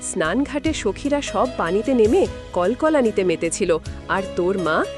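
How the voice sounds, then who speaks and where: A woman's voice: medium pitch, expressive, unhurried, natural voice. A woman playing an affectionate elderly village grandmother, telling a tale fondly in a dramatised audio story. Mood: fond reminiscing. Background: music.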